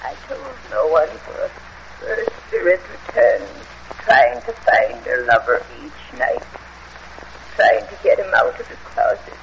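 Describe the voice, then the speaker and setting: An actress playing a frail, dying old woman servant in a radio horror drama, quavering, halting and anguished. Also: very deep voice, very animated, fairly bright tone, full character voice. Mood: haunted, sorrowful. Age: older. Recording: muffled, hissy old radio drama recording.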